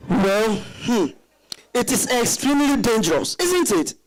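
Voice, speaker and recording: A forceful, serious husband, played by a man in a dramatized reading. His pitch swings widely as he speaks, giving each line dramatic weight. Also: very animated, measured pace, heavily acted, rough recording. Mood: concerned, measured. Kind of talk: dramatized stage-style reading.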